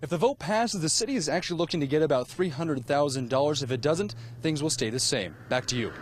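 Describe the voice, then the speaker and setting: A man reporting from the field for TV news in a scripted but conversational style, with a husky voice. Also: a little high, expressive, fast.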